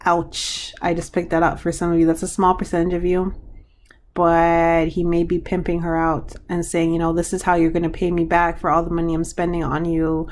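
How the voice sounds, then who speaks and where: A hoarse woman giving a pick-a-card reading to her viewers, talking frankly with frequent restarts. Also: on the low side, completely flat delivery, normal speed, a little mellow. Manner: frank, chatty, trailing restarts. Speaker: tarot card reader.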